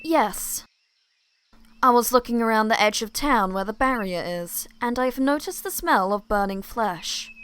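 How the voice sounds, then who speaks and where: A woman's voice: fairly high pitch, expressive, a little slow, a bit bright, clear, resonant tone. A woman playing a suspicious, secretive supernatural ally, serious and matter-of-fact, in amateur roleplay acting.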